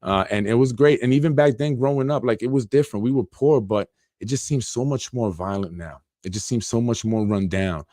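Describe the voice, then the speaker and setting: A man's voice: medium pitch, brisk, mellow, no echo, studio quality. A man in his forties from Yonkers, New York, hosting his own podcast in an earnest, plainspoken solo monologue, often repeating himself and saying "you know.". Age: 40s. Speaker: podcast host.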